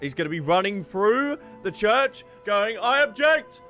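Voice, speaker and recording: A man's voice: sits high, big pitch swings, a little slow, bright, forceful tone, soft background music. A man doing casual, jokey game playthrough commentary, teasing and often repeating his phrases. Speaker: gaming streamer.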